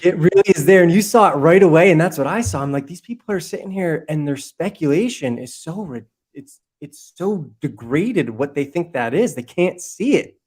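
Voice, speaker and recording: A man's voice: fairly high pitch, expressive, normal speed, hardly any pauses, a little mellow, husky. A man talking as a podcast guest, impassioned and rambling, swearing freely and restarting his sentences as he builds his case. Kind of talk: heated casual conversation.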